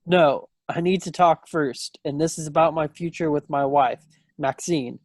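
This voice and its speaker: A man's voice: fairly high pitch, a little slow, no echo, studio quality. A man playing an earnest, stubborn young soldier in love, in an amateur play read over a video call. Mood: resolute.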